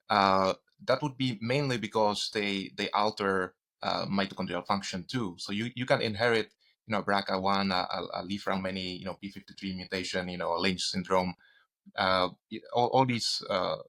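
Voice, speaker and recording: A man, a medical researcher interviewed as a guest, explaining technical ideas in a hesitant, halting way. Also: fairly low pitch, fairly bright tone. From Czech Republic, grew up in Spain. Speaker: guest, doctor and cancer researcher.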